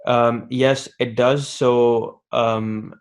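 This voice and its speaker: A man's voice: medium pitch, flat delivery, extremely slow. A man on a webinar panel, giving casual advice as a doctor with frequent ums and restarts over a video call. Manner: hesitant, many ums and restarts.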